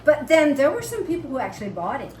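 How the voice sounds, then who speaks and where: A woman's voice: high-pitched, very animated, quick pace. A woman co-hosting a family vlog, chatting casually and unscripted. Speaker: co-host of a family homestead channel. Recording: roomy, distant mic with faint noise.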